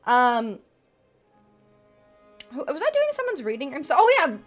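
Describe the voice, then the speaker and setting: High, lively woman streamer chatting. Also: measured pace, faint music behind. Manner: chatty, answers chat aloud, laughs often, trails off and restarts. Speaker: VTuber streamer. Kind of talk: casual live-stream chat with tarot readings.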